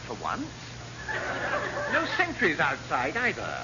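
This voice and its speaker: Sardonic high-voiced naval officer. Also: male voice, wildly swooping pitch, slow, highs cut off, exaggerated voice. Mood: dry. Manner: dry asides, exclamatory. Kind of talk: classic British radio sitcom acting. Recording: noisy, band-limited vintage radio recording.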